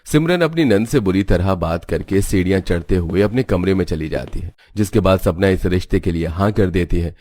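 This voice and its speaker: A man's voice: deep voice, expressive, fairly quick, few pauses, darkish tone, dry recording. A man reading scripted narration for a cartoon story as its storyteller. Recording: music behind the voice.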